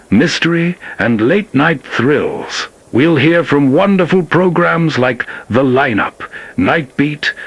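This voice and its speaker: A man's voice: on the high side, a bit bright, husky. A man hosting an old-time radio program, reading scripted narration meant for late-night listening.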